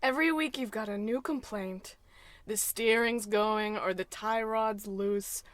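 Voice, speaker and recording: A woman's voice: medium pitch, measured pace, background noise, natural voice. A woman playing a devoted, sensible young suburban wife in a parody old-time radio drama, genteel and melodramatic. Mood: exasperated.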